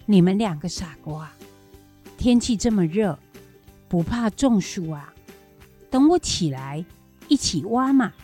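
A woman playing an elderly grandmother in a children's radio drama, caring, fussing and quick to chide. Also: medium pitch, animated, dark tone, faint music behind, close to an everyday voice. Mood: fussing, scolding. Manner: fussing, chiding.

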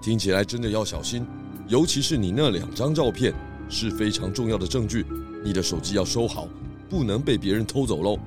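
A sensible pig detective, acted by a man, with a lowish voice and a steady, questioning way of talking. He sounds like the level-headed lead of a children's mystery story. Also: brisk, over music, close to an everyday voice. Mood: calm, inquiring.

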